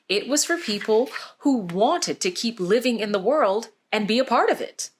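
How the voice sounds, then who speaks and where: A woman reading a storybook aloud for children, with expressive, deliberate phrasing. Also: mid-range voice. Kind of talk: scripted storybook narration for children.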